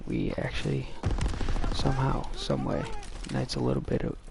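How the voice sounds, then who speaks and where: A young adult man telling a personal story casually, in a rambling, halting way. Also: medium pitch, monotone, creaky, background noise.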